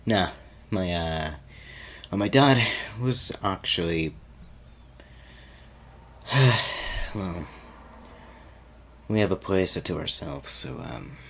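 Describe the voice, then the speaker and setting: A man playing an easygoing, teasing young guy sweet on his friend, talking casually and haltingly in an audio roleplay. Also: deep voice, expressive, vocal fry, a bit bright, slight background noise, heavily acted. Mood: teasing, nervous. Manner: halting and stammering, chuckling, casual slangy speech.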